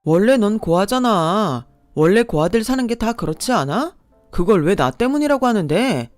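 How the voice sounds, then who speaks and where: A defiant young single mother who snaps back in a sharp, raised voice, voiced by a woman. The recording is dry and close. Faint music plays underneath. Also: medium pitch, big pitch swings, moderate pace, dry recording, lightly acted. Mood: angry.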